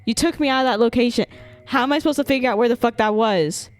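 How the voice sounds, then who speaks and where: A woman's voice: fairly high pitch, fast. A young woman reacting casually to a game on a live stream, slangy and playfully dramatic. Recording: loud game music behind the voice. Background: loud video game music.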